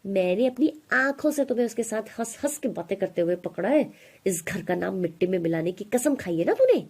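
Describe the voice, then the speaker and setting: Scolding stepmother, performed by a woman narrator: middle-aged, bossy, mellow tone with a raspy edge. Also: mid-range voice, expressive, fairly quick. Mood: accusing. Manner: threatening, berating.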